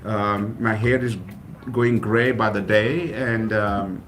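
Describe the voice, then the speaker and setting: A man's voice: lowish voice, fairly even intonation, takes it slowly, fairly bright tone, slight background noise. A man from South India whose first language is Kannada, a college president talking earnestly and gratefully in a casual radio interview, often restarting his phrases. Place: radio studio.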